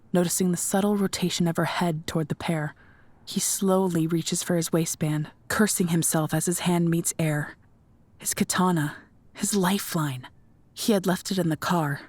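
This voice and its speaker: A woman's voice: lowish voice, darkish tone, dry recording. A woman reading scripted narration for an audio drama as its narrator.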